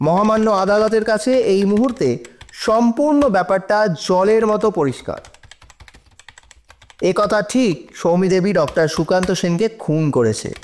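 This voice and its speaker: An actor playing an earnest, assured police officer pleading in court in an audio drama, with formal, deliberate, persuasive oratory. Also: male voice, high-pitched.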